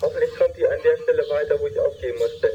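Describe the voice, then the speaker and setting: A man's voice: a little high, racing, highs cut off, lightly acted. Man as a pompous, chummy lawyer, noisy recording. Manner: wordy, over-familiar, pompous, stilted. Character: long-winded, chummy adult male lawyer phoning detectives. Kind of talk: German amateur parody radio play.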